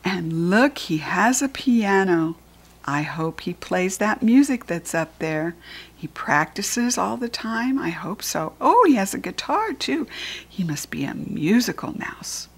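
An older American woman reads a picture book aloud for small children at a slow, unhurried pace. Her pitch sits in a middle female range. She teaches as she reads, naming things and putting simple questions to the listener. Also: very animated, husky. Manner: instructive, asks simple questions and points things out. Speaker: children's read-aloud host and reading teacher.

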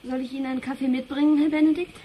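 A woman's voice: high-pitched, level tone, racing, muffled recording, slight background noise, close to an everyday voice. A woman playing a tart, pedantic office colleague in a German radio drama, with a sardonic manner. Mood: dryly teasing.

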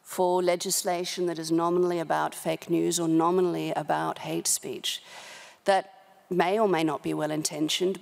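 A middle-aged woman speaking as a panelist at a policy forum, measured and halting, with formal vocabulary, into a desk microphone. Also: fairly low pitch, creaky, a little mellow. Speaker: panel speaker, company human rights director. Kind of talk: prepared remarks at a policy forum panel, spoken off the cuff. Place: large indoor conference hall. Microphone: desk gooseneck microphone, close.

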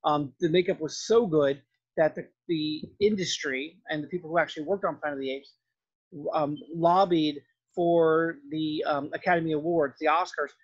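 Husky, higher-voiced male lecturer. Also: steady tone, normal speed, dull audio. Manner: explanatory, loosely worded, conversational. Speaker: film and art history lecturer. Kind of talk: lecture narration over slides.

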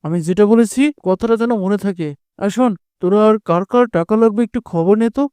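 Casting: male actor as bossy cartoon moneylender, high but mellow, scolding and greedy. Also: quick pace, smooth, no echo, clean studio sound, natural voice. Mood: threatening, scornful. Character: greedy domineering village moneylender, a married man. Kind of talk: Bengali cartoon voice acting.

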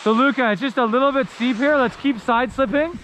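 A woman's voice: high voice, fairly quick, background noise. Mom coaching her kids down a ski slope, upbeat, filmed on an action cam outdoors. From Canada. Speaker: vlogger and parent ski coach. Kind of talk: coaching kids while skiing, vlog talk. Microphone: helmet or handheld action camera.

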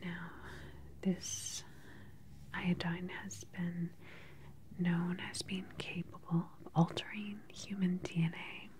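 A woman in her thirties whispering gently and methodically in an ASMR roleplay as a calm research doctor questioning a patient, close to the microphone. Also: on the low side. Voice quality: breathy, unvoiced whisper.

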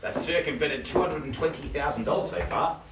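A middle-aged man calling bids at a live house auction, cracking jokes and repeating figures in a quick patter. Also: fairly high pitch, noisy background. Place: living room of a house. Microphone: camera across the room. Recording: roomy, distant camera audio.

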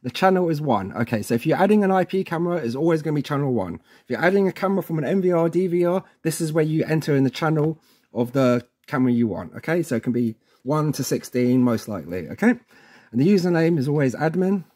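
Male tutorial presenter, relaxed mellow tone, a little higher than average, informal and chatty instructional delivery. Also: fast. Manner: informal, chatty, frequently checks in with "okay". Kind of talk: casual instructional walkthrough.

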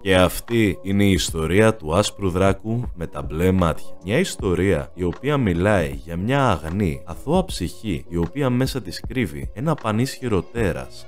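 Male video-essay narrator, low register, animated delivery, faint music underneath. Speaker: anime analysis YouTuber, narrator. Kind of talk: scripted narration and commentary.

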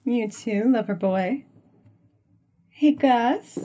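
A woman's voice: on the high side, drawn-out words, mellow. A woman playing a sultry, insistent mistress in an audio drama. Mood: seductive. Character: sultry mistress pressing her secret lover.

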